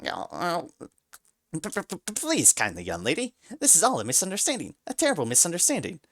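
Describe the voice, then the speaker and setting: A man's voice: fairly high pitch, huge pitch swings, moderate pace, gravelly, fairly bright tone, no room sound, clean studio sound, voice pushed up, heavily acted. A man voicing a sly talking mouse that pleads politely and later turns into a boastful griffin. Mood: panicked. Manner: pleading and overly polite, then gloating.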